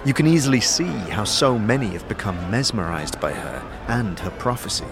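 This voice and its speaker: A man's voice: fairly low pitch, expressive, normal speed, music in the background. A man reading scripted second-person narration for a fantasy audio drama.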